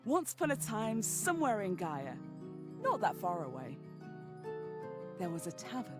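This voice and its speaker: A woman's voice: mid-range voice, huge pitch swings, moderate pace, over loud music. A woman voicing a wry, chatty tavern keeper who narrates a fantasy video game like a storybook. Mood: storytelling.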